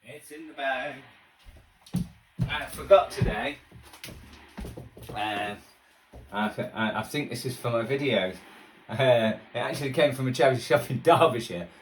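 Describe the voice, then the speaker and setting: A man's voice: mid-range voice, lively intonation, unhurried, long pauses, a little hiss or hum. A man chatting casually through a rambling hobby vlog, self-deprecating, with muttered asides. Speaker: radio hobbyist presenter. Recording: distant mic, radio playing behind.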